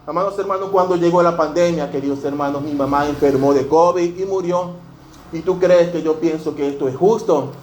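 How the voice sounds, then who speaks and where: A man's voice: fairly high pitch, brisk, darkish tone. Earnest Venezuelan pastor in his fifties, echoey church. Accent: Venezuelan Spanish. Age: 50s. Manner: earnest, fills every phrase with "dear brothers". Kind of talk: sermon. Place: church sanctuary. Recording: echoey church room, faint noise.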